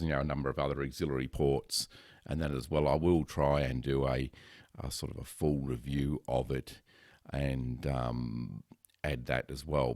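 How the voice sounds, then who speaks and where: Deep-voiced man, rambling gear chat. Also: no echo. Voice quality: loud resonant voice. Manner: rambling, many ums and self-corrections. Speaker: podcaster. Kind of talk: casual gear talk to camera. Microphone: headset microphone close up. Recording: dry, close sound.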